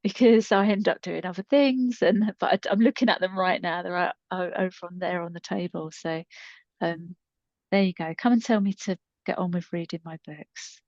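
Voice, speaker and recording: Low-pitched woman, dull lo-fi podcast sound. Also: normal speed, darkish tone, no echo. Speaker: podcast co-host and storytelling creator. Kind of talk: casual unscripted podcast chat.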